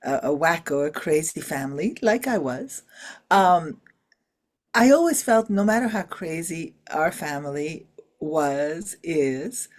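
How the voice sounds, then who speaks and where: A woman's voice: deep voice, lively intonation, slow. A middle-aged woman, a filmmaker being interviewed, talking expressively about family with many fillers and restarts. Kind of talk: casual interview talk.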